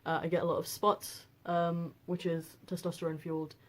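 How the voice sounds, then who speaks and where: A woman in her twenties with a deep voice, talking casually on a personal vlog with lots of fillers and restarts. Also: level tone, moderate pace, darkish tone. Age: 20s. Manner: rambling, frequent fillers and restarts. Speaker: vlogger.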